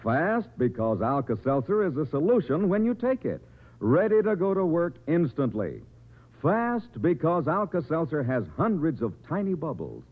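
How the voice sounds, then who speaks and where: Very animated vintage radio ad man. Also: on the high side, normal speed. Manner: emphatic, polished sales delivery. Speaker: commercial announcer. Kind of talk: 1950s radio advertising announcer. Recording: narrow, tinny 1950s radio broadcast.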